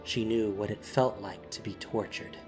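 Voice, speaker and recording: A man's voice: fairly low pitch, steady tone, moderate pace, faint music behind. A man reading scripted fantasy narration in a dramatic storytelling style, as the narrator of an audio drama.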